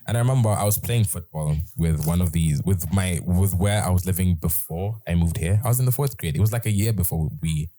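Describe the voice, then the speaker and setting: A young man with a British accent and a very low, mellow voice tells a story from his life in an easygoing, rambling way. He restarts his sentences often, and the sound is close and dry. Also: fairly quick, few pauses, no echo. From Britain. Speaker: host. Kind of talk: casual storytelling chat.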